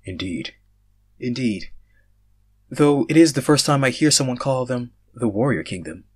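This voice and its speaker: Man reading a wary, dry fantasy tactician, husky. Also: mid-range voice, lively intonation, normal speed. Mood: amused. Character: wary, teasing male tactician in a fantasy tale.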